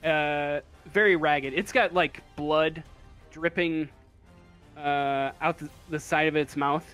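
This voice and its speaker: A man narrating a tabletop game as game master in a casual, halting, improvised way. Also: a little high, steady tone, a bit bright, faint music behind. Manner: halting, hesitant, casual delivery.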